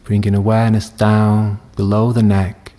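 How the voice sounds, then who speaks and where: A man leading a guided meditation as a teacher, speaking gently and soothingly with long unhurried pauses. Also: lowish voice, level tone. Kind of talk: guided body scan meditation.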